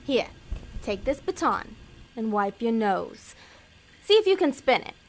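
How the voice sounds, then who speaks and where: Woman playing a bossy, high-pitched female demon schemer. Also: very animated, exaggerated voice. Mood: brisk coaxing. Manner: brisk, sarcastic, commanding. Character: bossy, impatient female demon posing as a talent developer. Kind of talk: audio comedy drama acting.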